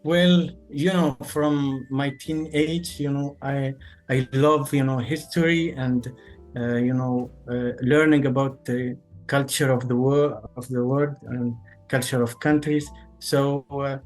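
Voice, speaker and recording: A man's voice: mid-range voice, fairly even intonation, slow pace, darkish tone. A man in his thirties from Tunisia, a native Arabic speaker, talking over a video call and leaning on "you know" between phrases. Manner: hesitant, fills with "you know" constantly. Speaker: guest, English teacher. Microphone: video call webcam. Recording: faint background music.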